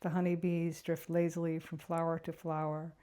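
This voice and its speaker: Woman, meditation guide, very mellow warm tone, even intonation, gentle, unhurried, reflective. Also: deep voice.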